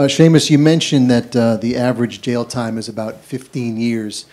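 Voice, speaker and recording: A man seated in a conference audience holding a handheld mic. He asks a polite, formal question in an even, flat tone with a dark, mellow voice. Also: medium pitch. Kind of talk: question from the floor. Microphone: handheld microphone.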